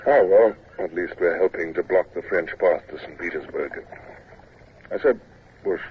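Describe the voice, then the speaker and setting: A man playing a deep-voiced naval captain. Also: normal speed, natural voice. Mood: reminiscing. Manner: stammering when acting young, wry when narrating. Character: naval captain recalling himself as a shy, nervous midshipman. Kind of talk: 1940s radio acting. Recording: tinny old radio broadcast with hiss.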